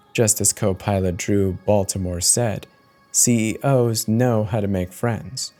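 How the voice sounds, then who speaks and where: A man giving a grave, deliberate dramatic reading as the narrator of a scripted horror story. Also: lowish voice, mellow.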